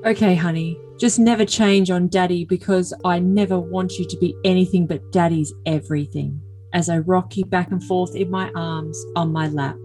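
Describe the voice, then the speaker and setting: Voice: mid-range voice, fairly even intonation, moderate pace, hardly any pauses, a little mellow, faint music behind, natural voice. A performer playing a doting young boyfriend, earnest and stilted, in an overly dramatic reading of text messages. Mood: syrupy.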